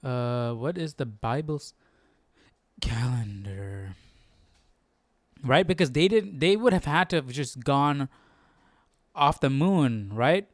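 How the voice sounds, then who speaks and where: A man hosting a Bible study livestream, casually thinking aloud and reading articles out loud in an inquisitive, informal way. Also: medium pitch, animated, slow, long pauses, no echo. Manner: inquisitive, informal, talks to himself.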